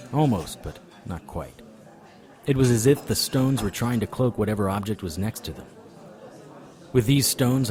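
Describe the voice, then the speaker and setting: A man's voice: on the low side, close to an everyday voice. An actor playing a hard-bitten male monster hunter, narrating noir-style in first person with dry, wry delivery.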